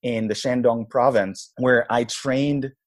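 A man's voice: medium pitch, slow. Man in his thirties, from Israel, interview guest, easygoing, reflective, casual storytelling. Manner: relaxed, reflective, frequent "like" and "you know".

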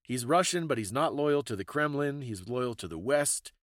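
A man's voice: mid-range voice, animated, moderate pace, fairly bright tone, no room sound, studio quality. A man hosting a podcast, explaining things in a casual, thoughtful conversational way. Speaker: podcast host.

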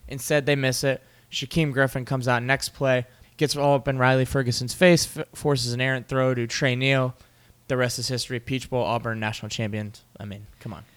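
A young man co-hosting a relaxed sports podcast, recounting games with lively energy and frequent laughter. His voice sits in a middle register and moves along at an easy conversational pace. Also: medium pitch, brisk. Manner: animated storytelling, laughs often. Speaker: podcast co-host. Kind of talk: casual sports chat.

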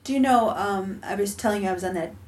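A woman's voice: mid-range voice, quick pace, darkish tone, slight background noise. A woman hosting a podcast in a casual, conversational interview style, with a chatty, encouraging manner. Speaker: podcast host.

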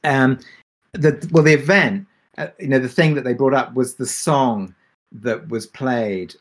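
A man with a mid-pitched voice talks casually as part of a creative panel. He explains things in a meandering way, pausing and restarting as he finds the words. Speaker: audio drama creator on a Q&A panel.

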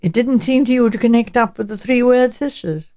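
Audiobook part: interrogator voiced by a woman, attentive and probing, economical delivery, soft-edged tone. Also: fairly high pitch, fairly quick, close to an everyday voice. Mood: inquiring. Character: probing professional listener questioning an old lady.

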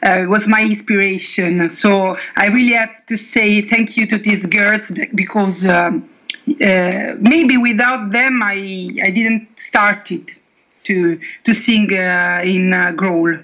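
A young woman speaking English with an Italian accent answers a metal interview haltingly, full of pauses and restarts. Her pace is very slow and her tone is bright. She sounds like a singer talking about her band. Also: medium pitch, low-quality recording. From Italy. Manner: halting, many fillers and restarts.

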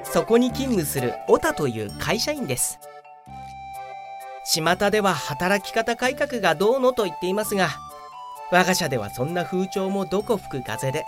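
A woman playing a hapless, grumbling veteran male office worker who narrates his own comic audio drama in a whiny, self-important way. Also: on the low side. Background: prominent background music.

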